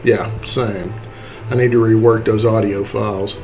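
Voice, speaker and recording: A man's voice: on the low side, fairly even intonation, unhurried, a little mellow, faint music behind. A man giving a casual, unscripted tutorial in a folksy, self-deprecating way. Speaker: tutorial host. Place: indoors, voice-over to screen capture.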